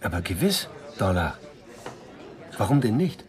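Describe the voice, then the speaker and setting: An actor playing a calm, probing police commissaire with a measured, questioning manner in a radio crime drama. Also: male voice, lowish voice, some chatter behind. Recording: faint background ambience.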